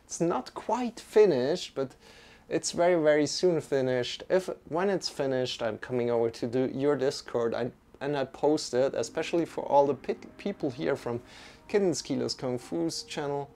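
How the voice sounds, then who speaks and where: A man's voice: mid-range voice, normal speed, gravelly, warm tone. A young man with a Swiss accent, hosting a live-streamed yoga class and greeting viewers in a loose, friendly way with frequent restarts. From Switzerland.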